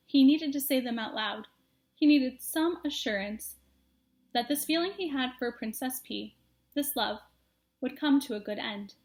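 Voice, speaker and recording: High-voiced woman reading a children's story. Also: a little mellow. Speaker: storybook reader. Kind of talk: expressive children's story narration.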